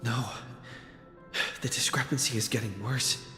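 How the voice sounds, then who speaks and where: A man's voice: mid-range voice, level tone, sharp tone. A man voicing a wary, thoughtful young hero in a video game, musing hesitantly as if to himself. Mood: suspicious, puzzled. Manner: slow, hesitant, introspective musing. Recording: echoing hall reverb with music.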